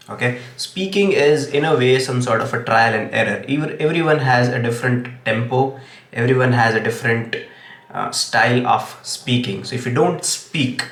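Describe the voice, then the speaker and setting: A man's voice: mid-range voice, a bit bright. A young man, an English teacher whose first language is Gujarati, speaks with an Indian English accent and gives earnest tips, often saying "okay". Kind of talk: direct-to-camera tips video. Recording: echoey room.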